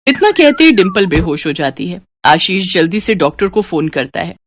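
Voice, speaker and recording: A woman's voice: lowish voice, expressive, moderate pace, slight background noise. A woman telling a story as a scripted narrator.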